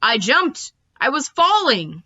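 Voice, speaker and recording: A woman's voice: sits high, very animated, slow pace, pushed and bright, slightly rough, highs cut off, voice pushed up, heavily acted. Woman reading as a whimsical, emphatic storybook dad. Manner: hesitant, emphatic, theatrical. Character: whimsical, rambling middle-aged British dad telling tall tales.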